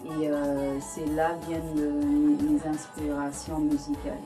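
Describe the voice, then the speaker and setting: Woman, low voice, Caribbean English accent, hesitant, lots of fillers, music playing behind. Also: completely flat delivery, a little slow. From Guyana. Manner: hesitant, many fillers and restarts. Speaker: singer-songwriter guest. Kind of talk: studio interview.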